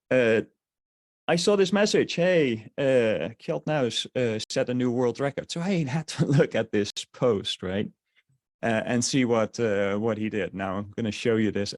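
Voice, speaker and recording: A man's voice: lowish voice, no room sound, clean studio sound. A man from the Netherlands with a Dutch accent, presenting a sales webinar in a rambling way with many fillers and restarts.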